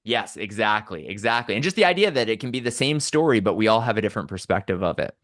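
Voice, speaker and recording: A man's voice: fairly low pitch, sing-song, fast talker, a bit bright, no room sound, clean studio sound. A man from Southern Alberta with a Canadian accent, telling personal stories conversationally and articulately as a podcast guest.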